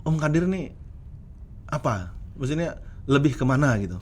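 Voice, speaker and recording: A man's voice: medium pitch, big pitch swings, normal speed, a little hiss or hum. A young man hosting a casual studio interview, asking playful, joking questions from a sofa. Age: young adult. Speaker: host.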